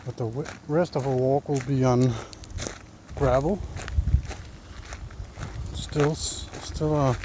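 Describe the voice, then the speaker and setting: A Danish man speaking English in a slow, unhurried way, pausing often to find his words as he thinks aloud. He is recorded outdoors on a handheld phone along a forest trail, with clear background noise under his voice. His pitch sits in an ordinary middle range. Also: fairly even intonation. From Denmark. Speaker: vlogger. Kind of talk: casual walking vlog.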